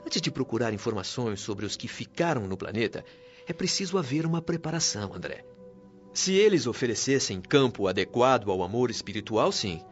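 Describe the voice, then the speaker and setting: A man's voice: mid-range voice, big pitch swings, fairly quick, natural voice. A Brazilian man playing a wise, patient spirit guide in a radio drama, speaking in a formal, earnest, didactic way. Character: wise, patient male spirit mentor of a heavenly colony. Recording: dull lo-fi audio with music underneath.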